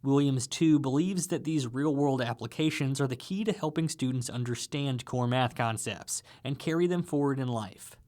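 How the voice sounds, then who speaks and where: A man's voice: mid-range voice, normal speed, hardly any pauses, a little mellow. A man narrating a scripted radio feature as a reporter.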